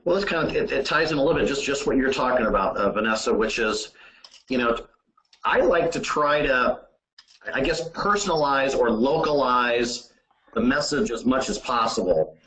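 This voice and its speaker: Man, echoey room sound, medium pitch, informal trainer, halting conversational delivery. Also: animated, fairly bright tone. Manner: halting, conversational, often says "you know". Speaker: sales trainer.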